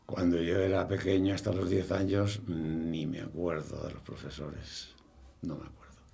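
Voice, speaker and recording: A man in his early seventies with a Castilian Spanish accent, casually reminiscing in an interview in a halting way, repeating words as he recalls. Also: deep voice, level tone, vocal fry, mellow. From Spain. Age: early 70s.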